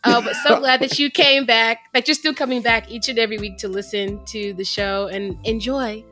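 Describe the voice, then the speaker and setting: A woman's voice: a little high, fairly even intonation, normal speed, bright, forceful tone, faint music behind. A woman co-hosting a podcast in casual, playful chat, laughing easily. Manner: playful, laughs often, sings jokey intros. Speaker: podcast co-host.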